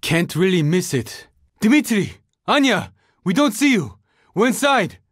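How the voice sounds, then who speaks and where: A young survivor in a zombie shooter game. His voice sits high for a male and swings widely in pitch. The delivery is terse, earnest and determined, recorded very dry. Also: sing-song, unhurried, dry recording, full character voice. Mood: focused, pressed. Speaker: video game character. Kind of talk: video game voice lines, dramatic combat barks.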